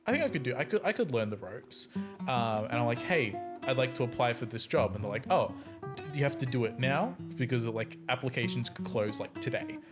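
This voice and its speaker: A man on a podcast panel telling personal anecdotes in a halting, self-deprecating way. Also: mid-range voice. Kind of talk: casual chat, personal anecdotes. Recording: guitar music under the voice. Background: plucked guitar music.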